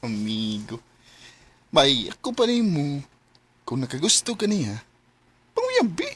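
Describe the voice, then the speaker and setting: Animated teasing young buddy. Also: male voice, a little high, takes it slowly, breathy edge, heavily acted. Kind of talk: radio drama acting. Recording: faint noise and ambience behind.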